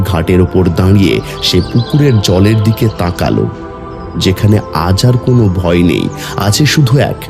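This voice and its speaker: Man narrating dramatically over music. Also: on the low side. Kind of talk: dramatic scripted story narration.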